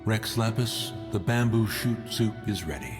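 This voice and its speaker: Lofty immortal sage, reverberant. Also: male voice, fairly low pitch, animated, measured pace. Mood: haughty, formal. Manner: lofty, formal, archaic phrasing. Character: proud, archaic-spoken immortal male sage. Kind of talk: video game voice acting. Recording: long hall reverb. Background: music.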